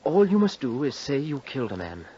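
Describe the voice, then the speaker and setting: An actor playing a scheming, nervous young heir with a hesitant manner, in old-time radio drama style. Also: male voice, medium pitch, big pitch swings, a little fast, dark tone, slight background noise, lightly acted. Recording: muffled vintage radio recording.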